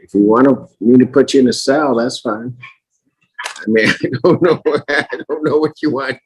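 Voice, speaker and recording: A man's voice: mid-range voice, moderate pace, rough recording. A man presiding as a judge, talking informally and plainly from the bench in a courtroom.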